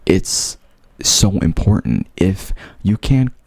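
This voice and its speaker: A man's voice: low voice, very animated, takes it slowly, creaky, darkish tone, slightly rough, no echo. A young man with a stutter, earnestly telling his own story to his viewers, repeating sounds and words as he goes. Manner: earnest, open. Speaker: vlogger. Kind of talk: personal storytelling to camera.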